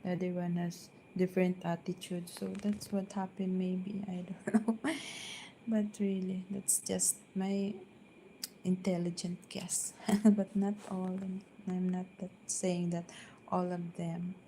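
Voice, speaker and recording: Soft-spoken filipina lady chatting on a live stream at home, slow and flat, lots of ums, faint hum behind. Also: fairly low pitch, low-quality recording. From Philippines. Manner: hesitant, many fillers and restarts. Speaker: vlogger. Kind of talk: casual live-stream chat. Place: downstairs at home.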